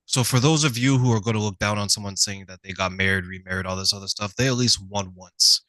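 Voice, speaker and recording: Forceful low-voiced male talk show host. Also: fast, no room sound. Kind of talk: conversational interview.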